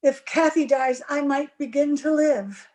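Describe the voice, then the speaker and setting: An actress playing a desperate, lovelorn young wife in an old-time radio drama, emotional and theatrical. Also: female voice, high-pitched, measured pace, dark tone. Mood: wounded.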